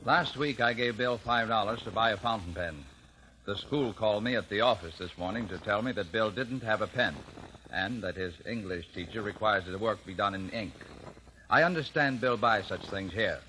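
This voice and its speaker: Stern American dad, formal, impatient, bright forceful voice, 1950s radio drama, faint noise. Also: male voice, fairly low pitch, moderate pace. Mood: businesslike. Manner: formal, authoritative. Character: stern, proud, impatient middle-aged American father. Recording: noisy vintage radio recording.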